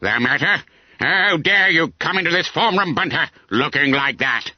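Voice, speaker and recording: A man's voice: mid-range voice, big pitch swings, a little slow, no echo, lo-fi sound, voice pushed up. A man voicing a stern, outraged schoolmaster who scolds imperiously in a story reading.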